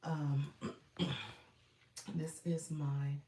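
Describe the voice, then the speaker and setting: A woman coaching viewers on live streaming in a casual, chatty way, congested from a cold with a froggy voice, often clearing her throat and using fillers. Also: sits low, little pitch movement, very slow, warm tone. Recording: roomy echo.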